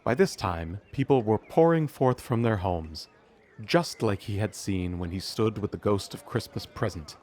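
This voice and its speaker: A man narrating a storybook audio drama in a measured storytelling manner. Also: on the low side, animated, a little mellow. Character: omniscient storybook narrator of a Christmas tale.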